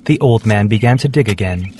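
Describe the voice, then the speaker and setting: A man's voice: fairly low pitch, expressive, brisk, dark tone, slight background noise. A man narrating a scripted story for children.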